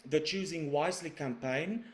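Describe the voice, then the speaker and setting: A man from South Africa, a radiation oncologist, reading a prepared webinar presentation in a measured, formal way. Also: a little high. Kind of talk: scripted presentation. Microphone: webinar recording.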